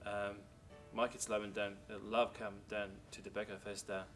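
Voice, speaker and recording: A man and parent speaking in a relaxed, rambling way about the festival. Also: fairly low pitch, moderate pace. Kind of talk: casual interview. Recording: distant from mic, music behind.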